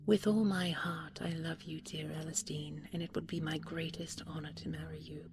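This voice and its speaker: Woman as storybook princess, gracious, loving, soft, gentle, low, creaky. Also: little pitch movement, normal speed. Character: gracious, loving cursed fairy-tale princess.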